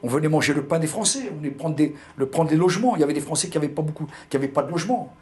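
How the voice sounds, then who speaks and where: A man's voice: fairly high pitch, expressive, racing, a crowd in the background. An older man from Algeria with a pied-noir accent, telling his memories in an interview, hesitating and repeating words. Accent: pied-noir French. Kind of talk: recounting personal memories.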